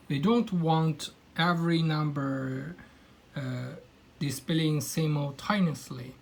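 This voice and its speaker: Brief: young man, Kazakh native, hesitant non-native English, unhurried and slightly high. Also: breathy edge. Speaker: hobbyist electronics presenter. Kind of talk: hands-on electronics demo.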